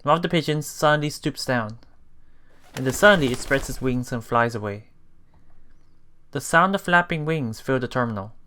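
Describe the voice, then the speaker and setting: A man reading a visual novel aloud as a let's play host, halting and self-correcting, with muttered casual asides. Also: medium pitch, sharp tone, clear, resonant tone.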